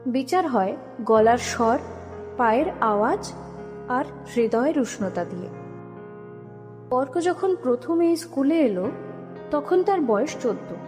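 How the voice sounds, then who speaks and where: A woman narrator reading a scripted story for an audio drama. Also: high voice. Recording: long reverb under music. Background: music.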